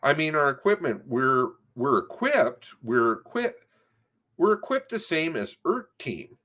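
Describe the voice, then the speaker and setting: A man's voice: a little high, very animated, a little slow, a bit bright. A man reading an interview transcript aloud, stressing words for emphasis as he goes. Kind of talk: read-aloud of spoken interview transcript with commentary.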